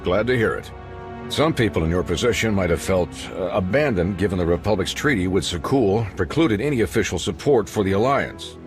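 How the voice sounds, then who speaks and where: An actor playing a personable, showy galactic news reporter and host in a video game, with polished delivery. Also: male voice, sits low, expressive, fairly quick, music in the background. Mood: polished, broadcast. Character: smooth, chatty male galactic news reporter and show host.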